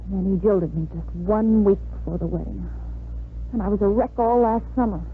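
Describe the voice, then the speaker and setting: An actress playing a disillusioned young bride-to-be in a 1950s radio drama, with a wry, world-weary manner. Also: female voice, medium pitch, moderate pace, lightly acted. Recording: muffled, noisy 1950s radio recording.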